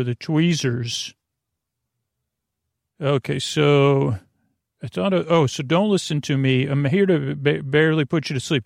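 A man hosting a podcast, delivering a meandering, halting, self-deprecating bedtime monologue that keeps interrupting itself with digressions. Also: medium pitch, gravelly, no room sound, studio quality. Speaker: podcast host.

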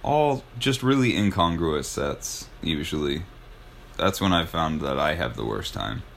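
A man's voice: rumbling low, lively intonation, a little slow, creaky, fairly bright tone, a little hiss or hum. A man co-hosting a podcast in casual chat, with a sardonic, swearing, easily amused manner. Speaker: podcast co-host.

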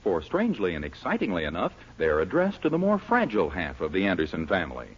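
A man's voice: low voice, wildly swooping pitch, quick pace, husky. An American man working as a radio announcer, reading scripted introductions and ads in a 1940s style. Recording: muffled 1940s radio broadcast, music behind.

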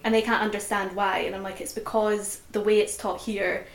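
A woman's voice: medium pitch, flat delivery, fairly quick, creaky. A young woman from the UK co-hosting a podcast, chatting casually and voicing her opinions emphatically. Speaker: podcast co-host. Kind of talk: casual chat. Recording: roomy echo.

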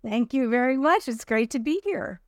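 Smooth-voiced woman telling career stories. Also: on the high side, lively intonation, moderate pace, no echo, studio quality. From New Jersey. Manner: chatty, storytelling, laughs easily. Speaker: guest. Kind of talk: casual interview.

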